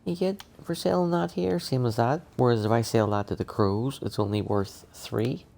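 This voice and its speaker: A man's voice: medium pitch, moderate pace, vocal fry, a little mellow. A middle-aged man giving casual, grumbling, sweary commentary while playing a video game. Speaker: gaming streamer.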